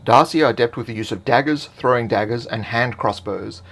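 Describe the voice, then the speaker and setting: A man reading scripted fantasy game lore in a measured, expository way. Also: medium pitch, creaky, a bit bright. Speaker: narrator.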